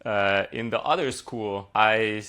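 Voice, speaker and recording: A man in his thirties who grew up in Hungary, talking through his background as a podcast guest. His voice sits on the low side, and he rambles casually with frequent fillers. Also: slow pace, bright, forceful tone, clean tone. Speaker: software engineer guest. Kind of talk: podcast interview, relaxed storytelling.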